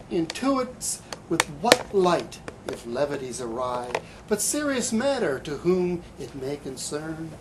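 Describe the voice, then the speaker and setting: A man giving a live poetry reading in a bookstore, with halting, hesitant asides and deliberate delivery. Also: high-pitched. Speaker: poet. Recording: light background room noise.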